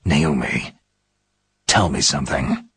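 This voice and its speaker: An actor playing a gruff, battle-weary special forces operative in a video game, gravelly and raspy, terse and intense in his questioning. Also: very deep voice, slow pace, fairly bright tone, no room sound, heavily acted. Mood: grim, resigned. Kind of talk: dramatic video game voice acting.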